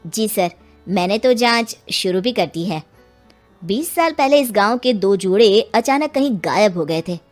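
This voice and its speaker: A woman's voice: medium pitch, animated, measured pace, faint music behind, lightly acted. A woman voicing an earnest, respectful male police inspector in an acted story. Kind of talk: acted Hindi audio story dialogue.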